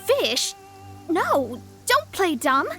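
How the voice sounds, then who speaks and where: A woman's voice: very high voice, wildly swooping pitch, very slow, fairly bright tone, soft background music, full character voice. A woman voicing a proud, easily exasperated young astrologist in scripted video game dialogue, with a pompous, formal, theatrical manner. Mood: exasperated, insistent.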